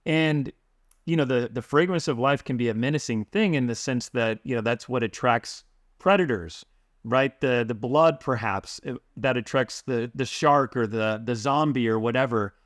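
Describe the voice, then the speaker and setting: A man's voice: medium pitch, moderate pace, no room sound, studio quality. A man co-hosting a podcast, reading a scripted introduction and then chatting loosely with fillers. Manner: reads the intro crisply, then chats with fillers. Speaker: podcast co-host.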